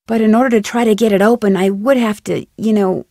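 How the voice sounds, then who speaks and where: A woman's voice: medium pitch, steady tone, fast, dark tone, no room sound, natural voice. A woman playing a polite, persuasive young American detective in a video game. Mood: polite, earnest. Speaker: player character, amateur detective. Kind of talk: video game character dialogue.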